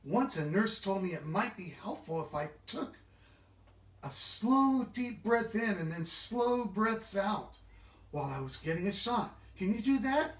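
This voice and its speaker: A man giving an animated, expressive children's storybook read-aloud, engaging his listeners directly. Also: high voice, measured pace. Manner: expressive, interactive, asks listeners questions. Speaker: children's book reader. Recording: echoey room, distant mic.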